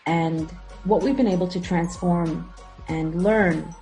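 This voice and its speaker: A woman's voice: fairly low pitch, slow pace, mellow, faint music behind. A woman hosting a podcast interview, talking in an enthusiastic, reflective way. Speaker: podcast host. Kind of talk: conversational interview.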